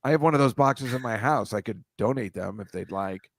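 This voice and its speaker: A man's voice: mid-range voice, brisk, vocal fry, no echo, studio quality. A man hosting a casual comedy chat, wry and rambling, often laughing at his own jokes. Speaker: host.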